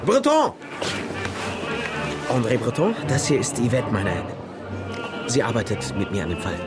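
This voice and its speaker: A breezy private eye in a radio drama. His mid-range voice is casual and brisk, set against loud music. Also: mid-range voice, huge pitch swings. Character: breezy male private detective among friends. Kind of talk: German radio drama acting.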